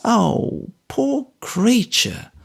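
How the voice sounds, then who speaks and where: A man voicing a warm, quick-witted soldier's wife who speaks with motherly fondness. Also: high voice, wildly swooping pitch, gravelly, breathy edge, pitched above the performer's own voice, full character voice. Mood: pitying. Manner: quick, motherly, fond exclamations. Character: older woman, warm, brisk soldier's wife.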